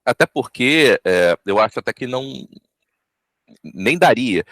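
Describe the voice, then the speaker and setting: A man's voice: mid-range voice, lively intonation, a little slow, fairly bright tone, no echo, clean studio sound. A Brazilian man chatting casually as he takes part in a discussion about creative work. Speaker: audio drama team member in a discussion.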